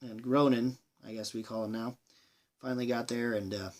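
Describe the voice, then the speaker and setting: Man, film reviewer, mid-pitched voice, casual monologue, halting, sweary, enthusiastic. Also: fairly even intonation. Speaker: film reviewer, channel host.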